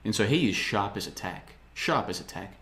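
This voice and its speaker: A man's voice: lowish voice, expressive, normal speed, fairly bright tone. A man in his thirties hosting a podcast, talking in a rambling, sarcastic way as he reads the live chat. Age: 30s. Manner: rambling, sarcastic, slips into mocking voices. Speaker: podcast host. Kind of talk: casual podcast monologue reading live chat.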